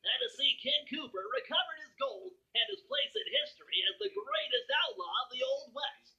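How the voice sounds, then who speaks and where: A man's voice: very high voice, expressive, moderate pace, gravelly, pushed and bright, dull audio, full character voice. A man voicing a nerdy, brainy turtle sidekick who narrates a cartoon video game in a precise, wry storytelling manner. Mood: wry, upbeat storytelling.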